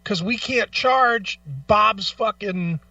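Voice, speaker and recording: A man's voice: high voice, slow, sharp tone, dry recording, distant mic, highs cut off. A man co-hosting a casual radio talk show, arguing his opinions bluntly and with plenty of swearing. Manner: blunt, profane, argumentative.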